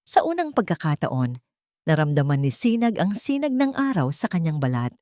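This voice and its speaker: Dark-voiced, low-pitched Filipino woman narrating drama. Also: sing-song, normal speed, dry recording, studio quality. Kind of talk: scripted dramatic story narration.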